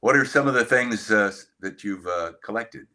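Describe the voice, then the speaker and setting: An older man interviewing conversationally and telling stories, with deliberate, dramatic pauses. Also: lowish voice, bright, forceful tone.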